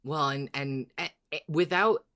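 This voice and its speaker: An androgynous-sounding podcast co-host speaks in a middling pitch. The delivery is casual and conversational, whether trading fan theories or reading listener mail aloud. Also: measured pace, sharp tone.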